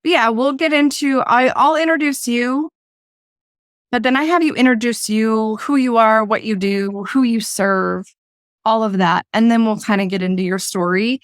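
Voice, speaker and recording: A woman with a fairly high voice hosts a relaxed podcast chat, talking easily while her voice is starting to go. She sounds close and dry on her own desk microphone at home. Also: normal speed, smooth, dry recording. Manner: laid-back, chatty. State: losing her voice. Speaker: podcast host. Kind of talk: casual chat. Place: home room with blinds closed.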